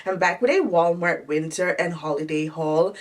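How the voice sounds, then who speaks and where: A woman's voice: on the low side, moderate pace, far from the mic. A woman vlogger sounding a little nasal from a cold, chatting through a fashion try-on haul in a friendly, descriptive way.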